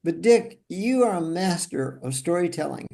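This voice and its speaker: A man's voice: on the high side, animated, moderate pace, creaky, mellow. A man hosting a podcast, steering the casual chat and eagerly prompting the others with questions. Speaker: podcast host.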